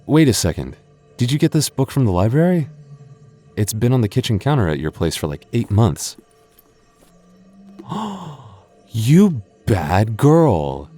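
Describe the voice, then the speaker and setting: A man's voice: lowish voice, warm tone, exaggerated voice. A man voicing a playful, teasing young goth boyfriend in an ASMR roleplay, often stammering and chuckling. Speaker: voice actor.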